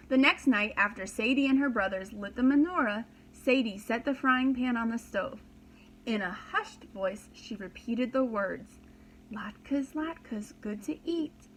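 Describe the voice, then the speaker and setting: A woman pre-kindergarten teacher narrates a picture book with slow, expressive care. Her voice is fairly high, and she explains things for young listeners over faint background noise. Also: rough recording. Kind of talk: read-aloud storybook narration for young children.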